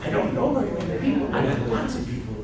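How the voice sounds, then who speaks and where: A man's voice: on the high side, wildly swooping pitch, quick pace. A man playing an ancient, fussy male angel who rambles and giggles while teasing a crowd, recorded from far off in an echoey event room.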